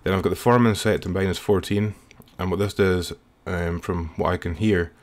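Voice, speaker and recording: Male music producer, low register, informal and slightly hesitant, talking through a screen-recorded software tutorial. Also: steady tone, normal speed. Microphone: voice-over recorded while working at computer.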